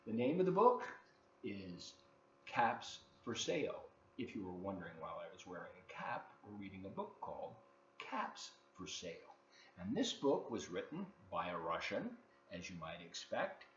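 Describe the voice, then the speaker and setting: Male storytime reader, lively and expressive, addressing children directly; dull, roomy home recording. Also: sits low, normal speed, a little hiss or hum. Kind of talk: read-aloud storytime for kids, with asides. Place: living room at home.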